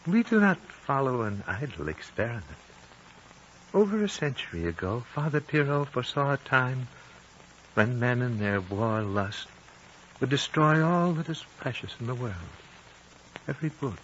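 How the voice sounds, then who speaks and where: A man's voice: mid-range voice, sing-song, fairly bright tone. A muffled, hissy old radio recording carries an ancient male lama. He is serene and fatherly, speaking with solemn formality and prophetic weight in vintage radio-drama style. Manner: slow-phrased, solemn, formal. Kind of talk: 1950s radio drama acting.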